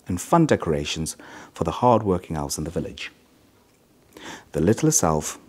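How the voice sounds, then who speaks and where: A middle-aged man reading a children's story aloud in an expressive, measured way. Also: low-pitched, husky. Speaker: hospital chief executive reading a children's story.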